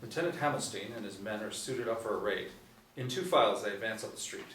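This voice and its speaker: A man's voice: on the low side, expressive, fast talker, a bit bright. A man reading scripted stage directions as narrator at a table read. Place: indoor room, seated reading panel. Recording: roomy, distant mic, faint noise.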